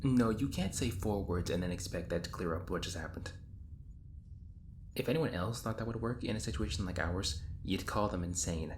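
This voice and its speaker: In a roomy space over noisy ambience, a man acts out a sarcastic, exasperated hero in a roleplay drama. His low voice rambles in casual, modern phrasing, like someone arguing with an enemy he knows well. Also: lively intonation, normal speed, darkish tone. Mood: questioning, exasperated. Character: exasperated, sarcastic young hero stuck in time loops. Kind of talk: audio roleplay acting, conversational monologue. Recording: roomy echo, clear background noise. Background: designed ambience.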